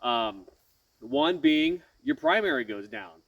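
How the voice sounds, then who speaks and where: Man with a cold, nasal and halting. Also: very high voice, huge pitch swings, vocal fry, fairly bright tone, dry recording, distant mic, highs cut off. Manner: frequent "um" fillers and self-corrections. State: has a head cold. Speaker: channel host. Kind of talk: casual firearms explainer to camera.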